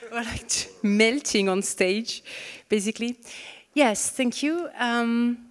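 A woman's voice: fairly high pitch, slow. A woman in her thirties from France with a French accent, moderating a fireside chat on stage, chatty and joking with many fillers, speaking into a handheld mic. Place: conference stage in a large hall. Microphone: handheld microphone. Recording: reverberant hall sound.

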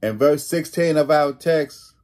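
A middle-aged man preaching a sermon as a pastor, deliberately repeating key phrases for emphasis. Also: on the high side, a little mellow. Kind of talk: sermon, read from notes. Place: small home room, indoors.

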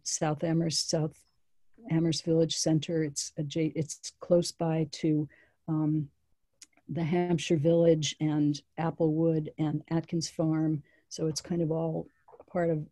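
Measured woman on a video call, dark voice. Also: low-pitched, dry recording. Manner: measured, frequent ums and restarts. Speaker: town planning official. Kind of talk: public meeting presentation.

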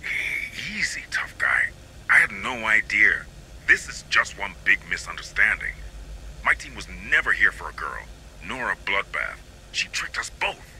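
An actor playing a hardened, cynical security captain in a video game, gruff, gravelly and sardonic. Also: male voice, on the low side, very animated, unhurried, pushed and bright, a little hiss or hum, full character voice, low-quality recording. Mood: defensive. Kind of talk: cinematic game acting.

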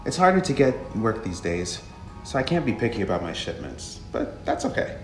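A friendly, plainspoken delivery woman, acted by a man in a visual novel. The delivery is chatty and very varied in pitch. It has a roomy echo with music behind it. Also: moderate pace. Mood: friendly, dismayed. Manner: expressive, animated. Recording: echoey room under music.

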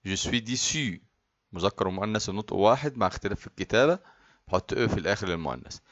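Egyptian man, low voice, French tutor, slow repeats then quick asides, dull lo-fi track. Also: expressive, a bit bright. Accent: Egyptian Arabic. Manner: repeats each phrase slowly, then explains it quickly. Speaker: French teacher. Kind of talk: slow repetitive language drill.

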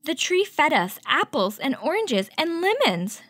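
A woman hosting a children's story time, reading a picture book aloud and teaching with clear, instructive delivery. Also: high-pitched, sing-song, a little slow, fairly bright tone, no room sound. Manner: clear, instructive, addressing young children with questions.

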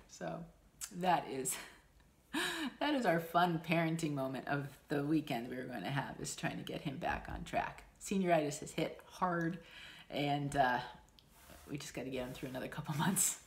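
Woman, 40s, low voice, stuffy nose, rambling home vlog chat. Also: lively intonation, moderate pace, gravelly. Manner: chatty, rambling, frequent ums and laughs. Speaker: stitching vlogger. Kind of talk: casual chat to camera. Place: small room at home, indoors. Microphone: camera in front at desk distance.

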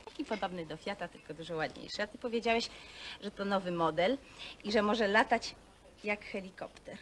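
An actress playing a naive, fretful sweetheart in a film, gushing and rambling in a folksy way. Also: female voice, medium pitch, close to an everyday voice. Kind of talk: naturalistic film acting. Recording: 1970 film soundtrack with faint noise.

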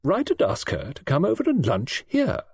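A man's voice: on the high side, wildly swooping pitch, moderate pace, slightly rough, dry recording, studio quality, voice pushed up, heavily acted. A man reading an audiobook, voicing a robust, imperious elderly aristocratic woman who talks in a forthright, snappish way. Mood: amused, brisk. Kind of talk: audiobook character voice.

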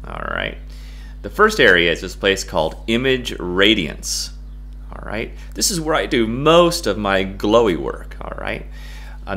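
Middle aged american dude doing a chatty tutorial, deepish voice, jokey, pitch goes all over. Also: male voice, fairly bright tone. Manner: playful, goofy, jokey. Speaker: photo-editing tutorial presenter. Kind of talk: casual software tutorial chat.

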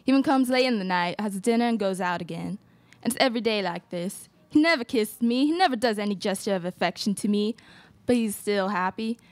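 A woman's voice: medium pitch, a little fast, dry recording, close to an everyday voice. A young woman playing an aggrieved, accusing wife in a student radio play at a close microphone. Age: young adult. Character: indignant, accusing wronged Western wife. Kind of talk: student radio theatre acting. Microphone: close stage microphone.

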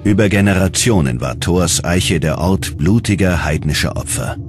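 A man narrating a scripted documentary in a measured, dramatic storytelling manner. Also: low-pitched. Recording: loud music under the voice. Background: loud background music.

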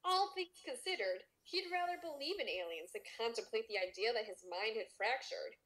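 High-pitched, animated woman reading aloud. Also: normal speed, low-quality recording. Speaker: podcast co-host reading a story aloud. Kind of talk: dramatic story reading with casual comic riffing.

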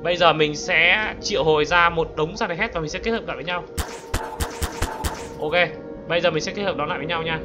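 A man's voice: a little high, brisk, pauses often, muffled recording. A man giving casual, exclamatory gameplay commentary as a gaming YouTuber, throwing in English exclamations. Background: music.

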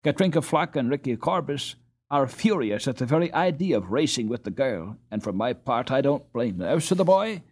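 A man's voice: medium pitch, sing-song, normal speed, pitched above the performer's own voice. A man voicing a scornful, bullying teenage boy in a storybook, with a blustering, taunting manner.

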